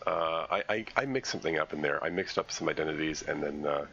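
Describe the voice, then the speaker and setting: A deep-voiced man with a gravelly edge talks through a game live, his voice stuffy and congested from a cold. He thinks aloud in a halting way while puzzling things out. The stream audio sounds a little dull, with a faint hiss underneath. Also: animated, pushed and bright. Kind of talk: live game playthrough commentary.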